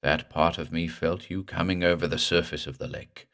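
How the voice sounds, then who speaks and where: A dead man risen from the water speaks in a slow, gurgling, guttural drawl, as a storyteller puts him on. His voice sits very deep and menacing. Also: steady tone, fairly bright tone.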